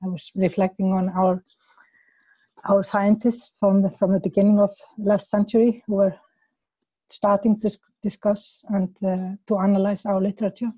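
A woman from Iceland with an Icelandic accent, speaking in a casual discussion over a video call, hesitant, repeating words and laughing softly. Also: medium pitch, little pitch movement, moderate pace, dry recording, highs cut off. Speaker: class participant.

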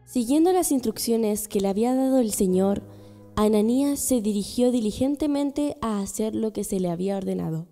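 A woman's voice: a little high, animated, moderate pace, mellow, faint music behind. A woman reading scripted narration for a religious radio drama.

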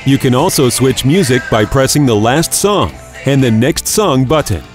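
A man's voice: medium pitch, animated, normal speed. A man giving a scripted, step-by-step instructional narration for a product demonstration. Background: background music.

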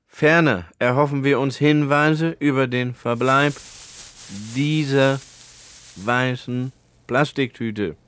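A man's voice: mid-range voice, fairly even intonation, slow, a bit bright, slight background noise. An actor playing an earnest, bureaucratic policeman making a radio appeal in stiff, methodical officialese. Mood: stiffly earnest.

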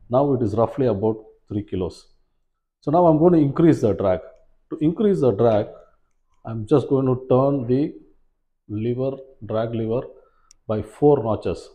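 A man in his forties presenting an instructional fishing demonstration in a measured, step-by-step way, recorded indoors. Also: mid-range voice, phone-quality sound. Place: small plain room indoors, seated at a table.

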